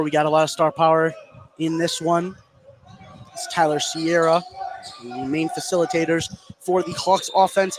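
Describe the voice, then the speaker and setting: A man calling live basketball play-by-play as the announcer. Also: a little high. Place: indoor basketball gym, courtside. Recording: noisy gym ambience, bouncing basketball. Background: game noise, ball bouncing.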